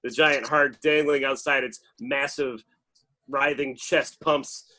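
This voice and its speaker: A man's voice: mid-range voice, fairly even intonation, slow, bright, forceful tone. Man narrating screenplay stage directions. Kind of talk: scripted narration at an informal table read.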